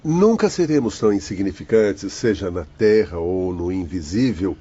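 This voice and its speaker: A consoling male spirit doctor played by a man, wise and formal. His voice is low and mellow, moralising gently, in a dull-sounding audiobook drama. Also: lively intonation, normal speed, slightly rough. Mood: calm counsel.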